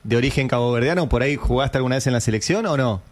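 A man, a radio host asking quick, playful questions in a casual interview. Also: mid-range voice.

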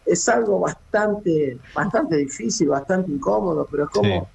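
A man's voice: on the high side, animated, normal speed, vocal fry, darkish tone, husky, poor audio. A middle-aged man from Cape Verde, a former footballer talking as a phone guest in a casual radio interview, hesitant and full of restarts and fillers. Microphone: phone call.

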